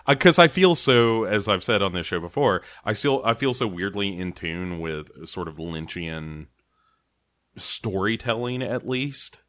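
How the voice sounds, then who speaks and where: A man's voice: fairly low pitch, animated, unhurried, a bit bright. A man co-hosting a podcast, recapping an episode in casual chat with eager, emphatic talk and stammering restarts. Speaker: podcast co-host.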